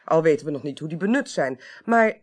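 An actress in a Dutch radio play voicing a tactful, analytical investigator who speaks politely and carefully, with a Netherlands accent. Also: female voice, low-pitched, animated, fairly quick. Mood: reasoning. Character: tactful, analytical professional woman on an investigating committee. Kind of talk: 1980s radio drama acting.

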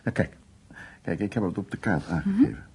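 An older man haunted by war, speaking in a creaky, husky voice. He tells his memories plainly and haltingly, brooding, in the manner of a radio play. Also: sits low, huge pitch swings, darkish tone, full character voice. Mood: calm, explaining. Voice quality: gravelly, husky. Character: brooding old Dutch war survivor telling his memories. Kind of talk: radio drama acting.

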